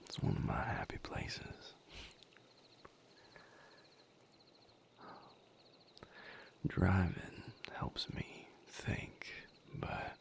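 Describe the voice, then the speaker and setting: A man playing a tender, weary vampire mate in an intimate audio roleplay, speaking softly and haltingly in a breathy, gravelly near-whisper. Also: low-pitched, full character voice. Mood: wistful, calm. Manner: unhurried, halting, soft-spoken with long pauses.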